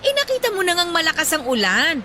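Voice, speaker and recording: Exasperated, high-voiced Filipino wife. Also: female voice, big pitch swings, fast, heavy background noise. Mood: reproachful, pleading. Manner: pleading, reproachful. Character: compassionate, exasperated wife of a shopkeeper. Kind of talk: Filipino radio drama acting.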